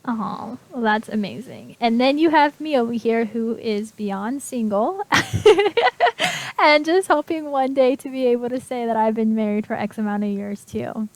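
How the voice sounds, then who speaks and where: A woman's voice: fairly high pitch, animated, unhurried, no echo. A woman hosting an internet radio show, chatty and bubbly, laughing often as she talks. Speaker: host. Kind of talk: casual internet radio chat.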